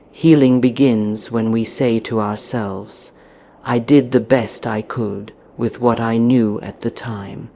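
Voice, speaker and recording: A woman narrating a scripted guided meditation in a deliberate, soothing manner with frequent pauses. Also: low-pitched, dark tone.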